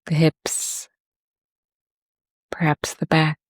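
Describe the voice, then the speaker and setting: A woman teaching a guided meditation and body scan in a gentle, unhurried manner with long pauses. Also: deep voice, monotone, slightly rough, no room sound.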